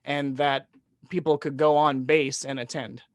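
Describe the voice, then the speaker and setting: A man's voice: a little high, level tone, measured pace, dry recording. A man hosting an interview in a casual, informal way, feeling his way through his questions. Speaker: interview show host.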